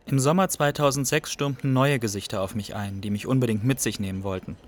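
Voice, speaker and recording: An actor playing an Iraqi refugee who recounts his exile in a measured, matter-of-fact radio drama narration. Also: mid-range voice, lightly acted. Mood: sober.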